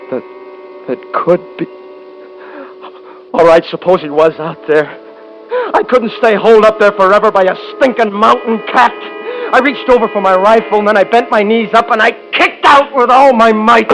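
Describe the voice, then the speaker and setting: A man's voice: sits high, rough recording. An actor playing a rugged, haunted hunter, narrating his ordeal in a folksy, tense manner in a 1950s radio drama. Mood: uneasy, then agitated. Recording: vintage 1950s radio recording.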